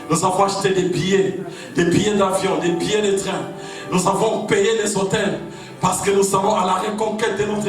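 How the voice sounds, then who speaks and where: A man from Congo with a Congolese French accent, preaching an impassioned sermon to a rally. He shouts his refrains in repeated rhythmic cadences. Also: sits high. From Congo (DRC). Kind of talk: fiery political sermon. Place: large echoing hall at a gathering. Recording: very echoey hall, far off, music behind. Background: music playing.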